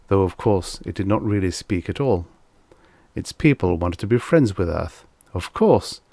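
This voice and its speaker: Guy with a low voice reading an audiobook story, expressive, really dry sound no echo. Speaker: audiobook narrator. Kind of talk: scripted story narration.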